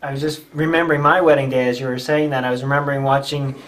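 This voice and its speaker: A man's voice: mid-range voice, little pitch movement, fast, a bit bright. A man from New York state who works as a wedding DJ, hosting a casual interview with upbeat, affirming remarks.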